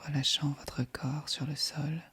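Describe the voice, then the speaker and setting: A woman guiding a yoga nidra meditation in a soft, breathy, whispery voice, calm and soothing. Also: deep voice. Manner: calm, soothing, measured delivery. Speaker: meditation guide.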